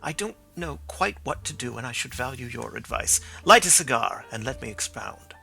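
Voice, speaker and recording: A sharp, self-assured detective, voiced by a male narrator, laying out his reasoning in crisp, certain lines. The voice is bright and forceful with a gravelly rasp, and the pitch moves in a lively way. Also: moderate pace, soft background music, exaggerated voice. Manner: crisp, assured statements, sometimes low murmurs to himself. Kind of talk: audiobook reading.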